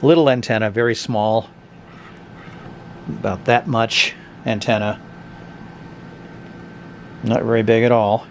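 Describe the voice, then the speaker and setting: A man hosting a casual, unscripted product review, talking in a hesitant, halting, conversational way. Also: on the low side, fairly even intonation, slight background noise. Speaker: host of a vintage radio review channel.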